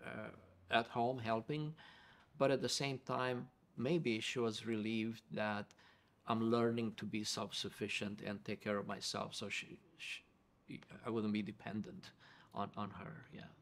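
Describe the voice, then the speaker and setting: A middle-aged man with a Romanian accent shares his life story as an interview guest. His voice sits low, and he speaks in an earnest, reflective way, often doubling words as he searches for them. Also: little pitch movement, a little slow, vocal fry. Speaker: guest, pastor and professor.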